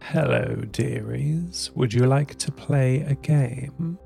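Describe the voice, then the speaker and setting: A sweet, doting old woman's voice put on by a male narrator crackles out of a broken speaker, thin and slow. It drags out each kindly word with a gravelly edge. Soft music plays faintly underneath. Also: mid-range voice. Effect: crackly poor connection.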